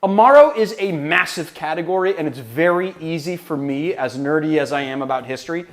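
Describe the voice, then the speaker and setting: A man with a loud, resonant voice hosting a cocktail livestream, chatting casually and answering viewer questions. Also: a little high, fairly quick. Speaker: cocktail channel host.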